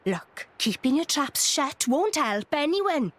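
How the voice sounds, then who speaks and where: A woman voicing a blunt, sharp-tongued young woman fighter who speaks in a brusque way. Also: sits high, big pitch swings, slow pace, full character voice. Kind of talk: video game voice acting.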